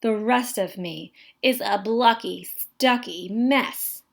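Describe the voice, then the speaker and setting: A woman reading a children's picture book aloud in an animated, dramatic way, drawing out words for effect. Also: mid-range voice, creaky. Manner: expressive, dramatic delivery with drawn-out words. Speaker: story reader.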